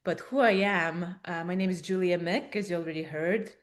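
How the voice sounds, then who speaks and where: A woman with an Estonian accent leads a webinar from a room with a small group. Her voice sits on the low side for a woman, and she speaks to the audience directly, asking them to join in. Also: moderate pace. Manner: warm and inviting, addresses audience directly. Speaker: coach and guest speaker. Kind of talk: webinar presentation.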